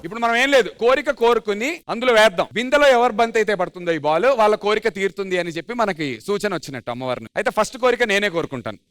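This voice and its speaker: A man hosting a TV dance show with lively stage banter. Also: high voice, very fast, hardly any pauses, smooth. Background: music.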